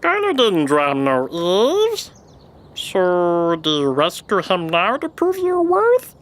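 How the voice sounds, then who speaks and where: A woman's voice: lowish voice, huge pitch swings, takes it slowly, full character voice. A woman voicing a small, impish, strong male servant creature in an audio drama, sly and servile, with simple third-person phrasing. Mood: impish, eager.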